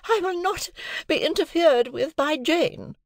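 A woman's voice: high voice, expressive, a little slow, dry recording, studio quality, voice pushed up. A woman voicing a haughty, easily affronted married lady in an audiobook, imperious and indignant. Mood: haughty indignation. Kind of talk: audiobook character acting.

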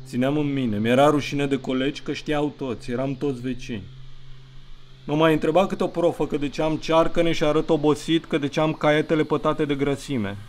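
A man's voice: a little high, steady tone, a little mellow, natural voice. An actor playing a troubled grown son, a young man confiding in a bitter, wry, conversational way in an audio drama. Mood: bitter, ashamed.

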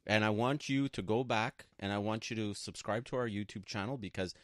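A man's voice: lowish voice, brisk, no echo, clean studio sound. A man co-hosting a conversational radio advice show, speaking in an emphatic, assertive way and repeating points for stress. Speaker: radio show co-host and broker. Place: radio studio.

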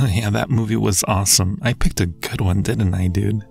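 A man's voice: on the low side, steady tone, normal speed, gravelly, husky, faint music behind. A young man acting a devoted, anxious friend in love in an intimate ASMR roleplay, speaking earnestly and hesitantly. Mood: playful.

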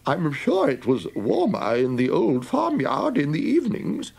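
A shrill, squawking old rooster. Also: male voice, wildly swooping pitch, measured pace, vocal fry, slightly rough, heavily acted. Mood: wistful. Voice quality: very high and strained. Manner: loud, squawking complaint. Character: old cockerel, fretful and loud.

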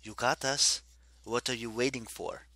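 A man's voice: medium pitch, fairly even intonation, unhurried, pushed and bright, dry recording. A man voicing a blunt, tense teenage demigod boy.

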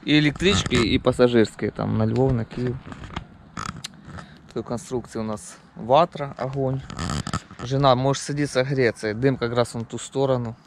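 A man's voice: medium pitch, fairly even intonation, normal speed, noisy background. A man narrating a casual camping vlog outdoors, talking to viewers. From Ukraine. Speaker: camping vlogger. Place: outdoors at a forest campsite.

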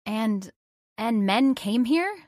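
An actress playing a distressed, disbelieving heiress, asking anxious, halting questions in old-time radio horror drama style. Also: female voice, on the high side, animated, a little mellow, dry recording, clean studio sound. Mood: uneasy, questioning. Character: distressed, disbelieving female heir to a factory fortune.

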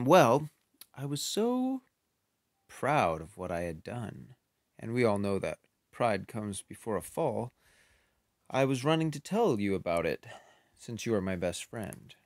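Man voicing a glum elephant storyteller; lively pitch, unhurried. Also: no echo, clean studio sound. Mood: earnest. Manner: slow, dramatic storytelling with sing-song sounds. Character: gloomy elephant telling his tale. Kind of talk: picture book read-aloud.

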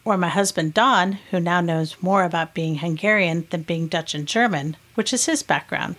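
American woman hosting a podcast, lower voice. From United States. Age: middle-aged. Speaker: podcast host. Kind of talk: scripted podcast narration.

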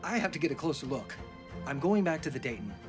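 Actor as an impassioned, animated father and scientist. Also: on the high side, fast. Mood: determined. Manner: impassioned, persuasive. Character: earnest, driven father, a marine biologist. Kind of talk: audio drama dialogue. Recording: music behind the voice.

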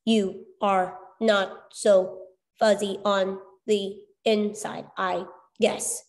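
A woman voicing a timid, glitchy female robot spider that speaks haltingly, word by word, with stuttered consonants. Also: mid-range voice, flat delivery, a little mellow. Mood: timid, hesitant.

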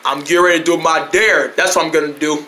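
A woman's voice: deep voice, steady tone, fast talker, bright, forceful tone, shouting, a little hiss or hum. A woman voicing a stubborn, boastful young male daredevil in an amateur improvised skit, with an insistent way of talking. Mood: determined. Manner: insistent, bragging.

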